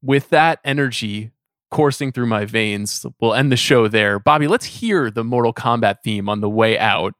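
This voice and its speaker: A man's voice: mid-range voice, normal speed, fairly bright tone, dry recording, studio quality. A man hosting a podcast, chatting casually about films and putting questions to his guests. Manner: conversational, asks the guests questions. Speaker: podcast host. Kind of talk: casual film discussion.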